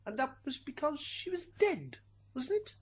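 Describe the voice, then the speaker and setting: High, stammering defence lawyer played by a woman. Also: huge pitch swings, measured pace, heavily acted. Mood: measured. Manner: stammering, pointed courtroom questioning. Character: fussy male defence lawyer in afterlife court. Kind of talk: amateur radio drama acting. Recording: hissy amateur recording, background noise.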